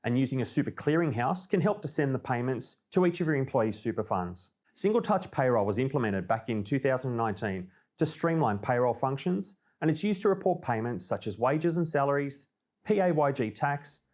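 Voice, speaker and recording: A man with an Australian accent presenting a scripted informational update in a clear, orderly, explanatory way. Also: mid-range voice, lively intonation, brisk, darkish tone. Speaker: presenter of a company newsreel.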